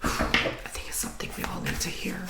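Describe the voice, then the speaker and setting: A man giving a tarot reading in a soft whisper, earnest and emotional, rambling as he encourages the listener. The recording has a roomy echo. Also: a little fast. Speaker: tarot reader.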